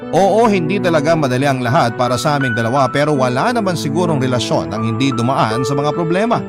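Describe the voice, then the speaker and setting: Loud sentimental drama music runs under the voice. A male actor plays a reflective Filipino lover narrating a confession letter in an earnest, remorseful way. The pitch is average for a man. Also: lively intonation, quick pace, natural voice. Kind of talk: scripted first-person drama narration.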